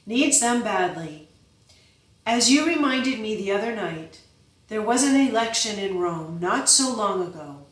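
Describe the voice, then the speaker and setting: A woman's voice: medium pitch, animated, unhurried, far from the mic, pitched above the performer's own voice, natural voice. A woman reading the part of a giant medieval lord, a stern and fervent father who gives solemn, fierce commands in a storybook. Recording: echoey room, from off-mic.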